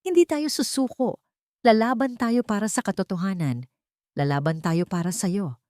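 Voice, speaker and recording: A woman's voice: medium pitch, normal speed, darkish tone, no room sound, studio quality, natural voice. A woman voicing an earnest, resolute adult son protecting his mother in an audio drama. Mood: defiant.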